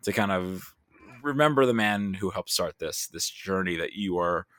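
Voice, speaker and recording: A man's voice: low-pitched, expressive, moderate pace, a bit bright, dry recording, studio quality. A man talking casually as a guest on a podcast. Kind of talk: casual chat.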